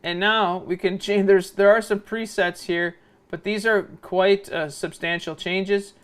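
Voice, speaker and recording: A man with a noticeably high, smooth and clear voice narrates a software tutorial. He explains informally, step by step. He often tags his points with little checks for understanding. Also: steady tone, unhurried. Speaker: video editing instructor.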